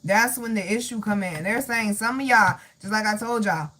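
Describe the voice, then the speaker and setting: A woman's voice: mid-range voice, moderate pace, sharp tone. A woman hosting a live tarot reading, talking bluntly and profanely in a sassy way, calling viewers honey and baby as she reads their comments. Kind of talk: casual livestream chat reading comments.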